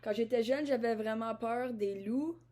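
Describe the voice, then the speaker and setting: A woman's voice: fairly high pitch, a little slow, mellow. A woman from Ottawa talks to the camera in a rambling, introspective vlog monologue, often repeating and restarting her phrases. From Ottawa, Canada. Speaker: vlogger.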